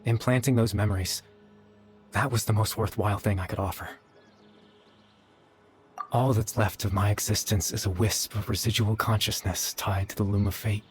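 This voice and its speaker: Faint music sits behind a man playing a gentle young man, a fading spirit in a video game drama. His voice is fairly low and soft, with a measured, wistful delivery. He sounds weary and confessional. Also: steady tone, natural voice. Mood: resigned, weary.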